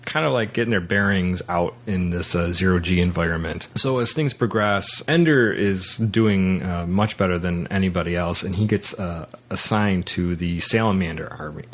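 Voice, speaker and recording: A man hosting a podcast, casually talking through a film in a halting way, circling back to repeat his words. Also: low voice. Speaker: podcast host.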